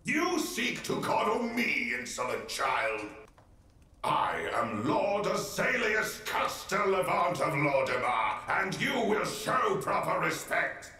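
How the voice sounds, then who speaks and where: Slow, echoing, haughty undead knight. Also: male voice, high voice, sing-song, sharp tone, faint music behind, full character voice. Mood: imperious, angry. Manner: pompous, archaic, declamatory. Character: haughty, wrathful undead knight lord in armor. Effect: echoing spirit reverb. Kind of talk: video game character acting. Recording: strong echo, distant sound.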